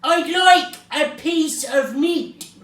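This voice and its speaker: A woman voicing a loud, demanding man shopper from a storybook, bellowing with drawn-out words. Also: sits high, voice pushed up, heavily acted. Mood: booming demand. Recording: roomy, distant mic, faint noise.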